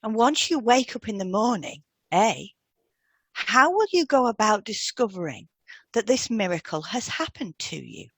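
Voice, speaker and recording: A woman narrates a scripted podcast in deliberate, measured phrases. Her mid-range voice rises and falls expressively, recorded dry with no noticeable room sound. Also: medium pitch, sing-song, husky, no room sound. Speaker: hypnotherapist and podcast co-host.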